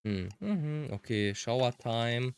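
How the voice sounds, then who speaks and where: A man's voice: medium pitch, expressive, takes it slowly. A man who is a native German speaker, casually commenting on a game as a let's player.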